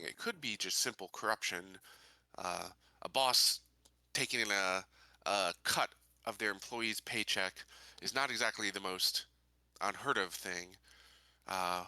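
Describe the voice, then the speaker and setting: A man playing an earnest, measured investigator in a tabletop sci-fi game, with hesitant, thoughtful, formal phrasing. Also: on the low side, sharp tone, dry recording. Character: earnest, measured male investigator in a space colony. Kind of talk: in-character tabletop roleplay dialogue.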